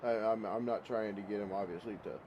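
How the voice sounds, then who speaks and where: A man's voice: fairly low pitch, steady tone, fairly quick, background noise. A man with a head cold chatting casually while streaming a shooter game, rambling in a folksy way and repeating his words. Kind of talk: casual gaming livestream chat. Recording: loud game gunfire behind voice. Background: gunfire and vehicles from the game.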